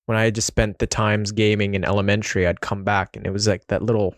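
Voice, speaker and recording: A young man born in the Philippines hosts a podcast in a relaxed way, asking questions and dropping in lots of "yeah". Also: on the low side, fast, no room sound, clean studio sound. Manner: relaxed, frequent "yeah" backchannels. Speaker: podcast host. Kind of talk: casual conversational interview.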